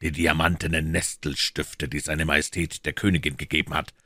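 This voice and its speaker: An assured, deferential gentleman informant in an audiobook, voiced by a male narrator. His voice is very low. He reports in a measured, precise way, with a close, dry sound. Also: flat delivery, dry recording, full character voice. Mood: measured, reporting. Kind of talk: audiobook character dialogue.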